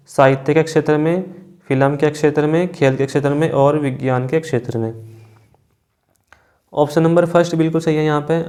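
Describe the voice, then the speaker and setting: A man's voice: a little high, a little mellow. A man teaching an exam class, reading out quiz questions and options, then confirming answers with repeated verbal tags.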